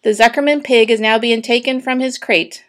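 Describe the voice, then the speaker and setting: Brief: woman reading pompous booming male fair announcer, storybook. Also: moderate pace, natural voice.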